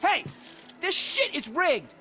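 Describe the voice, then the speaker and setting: Mad punk kid yelling, super high n shrill, voice all over the place. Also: male voice, very animated, takes it slowly, faint music behind, exaggerated voice. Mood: furious. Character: furious foul-mouthed young arcade punk, shrill and agitated.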